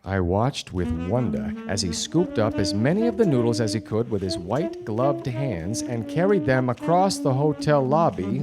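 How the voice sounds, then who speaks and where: An actor playing a wry, deadpan 1940s private detective in a radio noir drama. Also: male voice, mid-range voice, close to an everyday voice. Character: wry 1940s hardboiled male private detective, dryly exasperated. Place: theatre stage before a studio audience. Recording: live radio play with music behind.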